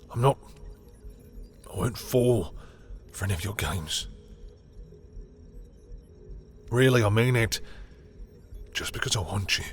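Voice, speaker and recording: Male actor as proud villain with powers, lowish voice, guarded and secretly smitten, intense halting confessional delivery for romantic audio drama, music underneath. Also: fairly bright tone, close to an everyday voice. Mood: flustered, breathless. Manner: intense, halting confession, swearing, sometimes dropping to whispers. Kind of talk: dramatic audio roleplay monologue addressed to the listener. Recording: music behind the voice.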